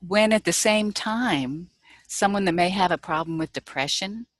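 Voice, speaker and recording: A woman's voice: fairly low pitch, moderate pace, a little hiss or hum. A woman sharing her thoughts in a rambling, reflective way on a group video call.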